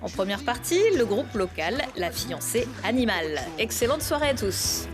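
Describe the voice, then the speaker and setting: A woman's voice: a little high, expressive, moderate pace, music in the background. A woman presenting a local television news bulletin.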